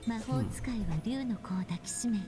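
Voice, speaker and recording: Actress as tender storybook narrator over prominent music. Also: female voice, a little high, quick pace, natural voice. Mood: gentle storytelling. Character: tender female storyteller of a dragon fairy tale. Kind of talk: scripted fairy-tale narration. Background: game music.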